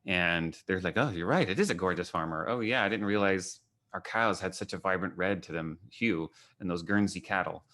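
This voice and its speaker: A man's voice: low-pitched, moderate pace, hardly any pauses, pushed and bright. An American man from Kentucky speaking informally on a video call as an artist, in a rambling, anecdotal way that often quotes people. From Kentucky, United States. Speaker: photographer and artist giving a gallery talk. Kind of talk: informal online talk, answering questions.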